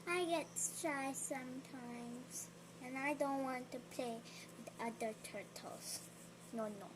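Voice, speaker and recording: Woman, high and light voice, unhurried storybook reader who breaks off to chat with the listener. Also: background noise. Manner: slow, gentle reading with chatty asides to the listener. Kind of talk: reading a picture book aloud.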